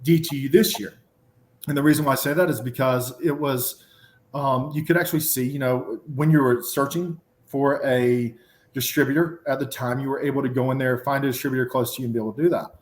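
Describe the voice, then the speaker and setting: A man's voice: mid-range voice, steady tone, fairly quick. Roomy Southern man running words together. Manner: runs words together, many fillers. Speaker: guest. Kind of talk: casual podcast conversation.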